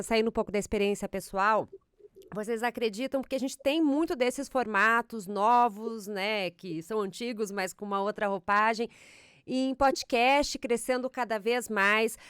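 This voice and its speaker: A woman's voice: fairly high pitch, a bit bright, clear, resonant tone, no room sound, clean studio sound. A Brazilian woman hosting an interview, asking long questions padded with fillers. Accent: Brazilian Portuguese. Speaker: podcast host.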